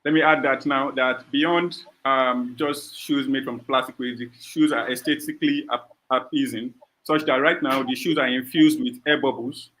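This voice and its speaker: A man's voice: mid-range voice, fairly even intonation, moderate pace, hardly any pauses, a bit bright, rough recording. A man answering judges' questions as a startup founder on an online call, speaking unscripted.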